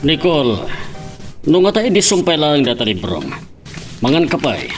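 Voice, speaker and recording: A doting father in a radio drama. His voice is slightly high and moves in lively swoops, with faint music behind. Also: normal speed. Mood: amiable. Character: doting middle-aged father of an only daughter. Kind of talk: Philippine radio soap acting.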